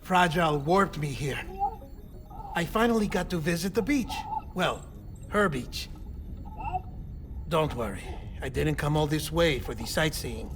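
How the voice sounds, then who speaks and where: Animated, higher-pitched male scientist. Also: a little slow, pauses often, music in the background. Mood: eager, explanatory. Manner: explanatory, animated, matter-of-fact. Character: excitable, fussy middle-aged male scientist, clinical yet chummy. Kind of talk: cinematic video game acting.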